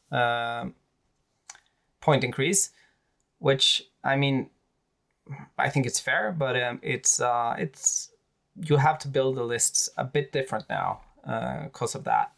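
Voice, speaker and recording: Man, Swedish accent, gravelly voice, mid pitch, hesitant casual commentary. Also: lively intonation, takes it slowly, long pauses, a bit bright. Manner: hesitant, many fillers and restarts. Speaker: tabletop wargaming creator. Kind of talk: casual commentary on army lists.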